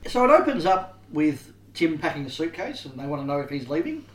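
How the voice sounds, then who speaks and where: A man's voice: on the high side, big pitch swings, a little fast. A man hosting a casual podcast, leading the talk and turning to each co-host in turn. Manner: leads the talk, asks each guest in turn. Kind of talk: casual chat. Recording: echoey room, faint background noise.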